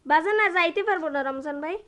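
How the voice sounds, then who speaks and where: A very high-pitched, quick-talking village boy from a radio play. He is honest, earnest and blunt, speaking in a rural Bangladeshi dialect with a bright, sharp tone. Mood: plain, puzzled. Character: honest, plain-spoken young village boy, goldsmith's apprentice. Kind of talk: Bengali radio drama acting.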